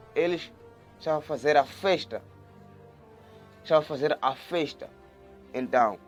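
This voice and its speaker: Earnest man teaching the Bible. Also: on the high side. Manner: earnest, repeats words and restarts, grows lively when retelling. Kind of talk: video Bible study, reading and explaining scripture.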